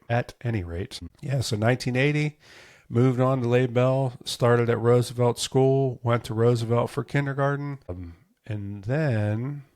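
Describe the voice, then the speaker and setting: A man's voice: fairly low pitch, darkish tone, no room sound, studio quality. An American man in his fifties hosting his own show, reminiscing in a rambling, casual solo monologue full of self-corrections. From United States. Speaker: show host.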